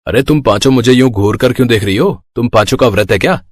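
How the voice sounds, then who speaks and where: Male actor as caring elder brother in a family story, young, joking, expressive, recorded dry. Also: medium pitch, fast talker, no echo, natural voice. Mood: playful, teasing. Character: caring, teasing elder brother of five sisters, working young man. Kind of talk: dramatized Hindi family story acting.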